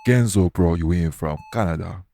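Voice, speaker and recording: A man playing a calm, courteous iguana-shifter archaeologist in an audio roleplay, speaking in a formal, measured, reassuring way. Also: deep voice, gravelly. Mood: measured, explanatory. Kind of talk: audio roleplay acting addressed to the listener.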